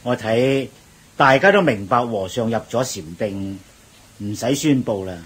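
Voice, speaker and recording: An actor playing a patient, deferential Buddhist monk who explains things gently and in a measured way in a radio drama. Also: male voice, medium pitch, expressive, breathy edge. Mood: explaining. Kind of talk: Cantonese radio drama acting.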